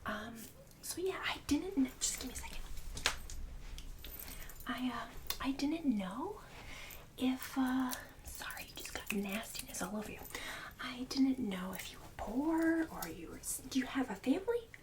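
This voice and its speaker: A woman's voice: high voice, animated, measured pace, pauses often, far from the mic, background noise. A woman playing a snobbish, bossy suburban book club hostess in an ASMR roleplay, condescending and catty with fake-sweet insults. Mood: catty, judgmental. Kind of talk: ASMR roleplay, whispered and soft-spoken.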